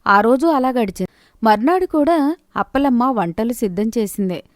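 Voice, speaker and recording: A woman's voice: fairly high pitch, expressive, normal speed, no echo, clean studio sound. A woman narrating a scripted moral story in a storybook style.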